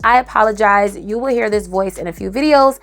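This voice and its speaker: A woman with a hoarse, raspy voice after a cold, chatting animatedly as she reviews a perfume. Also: mid-range voice, fairly quick. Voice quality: raspy, dry, cracking. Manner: animated, emphatic, tosses in "okay?". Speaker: fragrance reviewer. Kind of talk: casual chatty review to camera.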